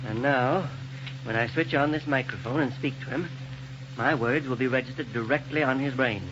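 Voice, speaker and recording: Excitable middle-aged male scientist played by an actor, fussy, 1930s radio play, muffled, hissy. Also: mid-range voice, moderate pace, natural voice. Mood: explaining. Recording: muffled 1930s radio drama recording with hiss.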